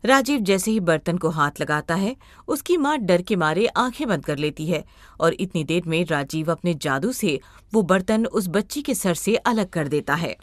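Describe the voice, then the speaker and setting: A woman's voice: on the low side, expressive, normal speed, no room sound, studio quality. A woman reading scripted story narration as a storyteller.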